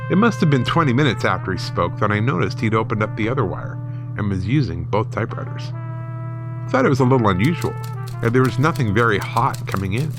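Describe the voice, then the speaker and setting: Guy narrating a scary story, first person, dramatic storytelling, music behind him. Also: medium pitch. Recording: music bed under the voice. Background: background music.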